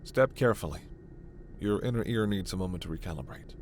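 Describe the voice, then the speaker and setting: A man playing a gentle, authoritative alien doctor in a sci-fi ASMR roleplay, speaking in a measured, formal and reassuring way. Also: low voice, heavy background noise, natural voice. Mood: warm, guiding. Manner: measured, formal, reassuring, soft-spoken asides. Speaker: voice performer.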